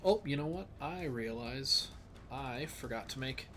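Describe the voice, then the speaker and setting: A man with a stuffed-up nose, thinking aloud slowly with lots of fillers as he streams a geography game. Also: mid-range voice, animated, fairly bright tone, soft background music. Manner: slow, musing, thinks aloud with many fillers. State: stuffed up, sniffly. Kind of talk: casual live-stream commentary.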